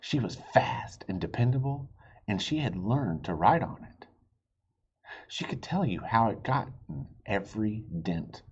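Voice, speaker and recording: Animated man reading aloud, slightly husky. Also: fairly low pitch, muffled recording. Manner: animated, pausing to explain and ask listeners questions. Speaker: children's read-aloud host. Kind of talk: expressive children's storybook reading with asides.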